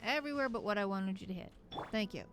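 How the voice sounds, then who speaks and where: A woman's voice: medium pitch, fast talker, background noise. A woman streamer giving casual commentary as she plays, chatty and muttering to herself while she works through puzzles. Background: game music.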